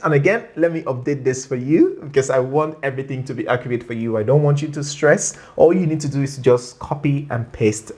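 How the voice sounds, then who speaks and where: Chatty, playful tutorial host. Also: mid-range voice. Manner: chatty, playful, coaxing asides to viewers; often tags sentences with okay or right. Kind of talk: screen-share tutorial narration.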